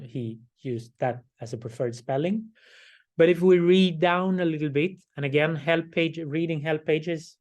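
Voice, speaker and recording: A middle-aged man, hoarse and losing his voice, giving a class lecture and explaining each step slowly, often checking with "right?". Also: mid-range voice, a little mellow. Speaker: instructor.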